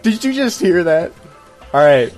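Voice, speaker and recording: A man's voice: high voice, very animated, measured pace, music in the background. A man co-hosting a podcast in casual, opinionated chat, speaking in a rambling, hesitant way full of filler "like"s. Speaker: podcast co-host.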